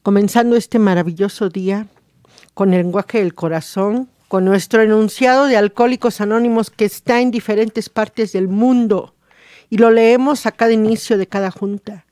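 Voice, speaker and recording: A woman with a Mexican accent hosting a radio show, reading reflections aloud in a halting, deliberate way. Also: medium pitch, steady tone, warm tone, no room sound, studio quality.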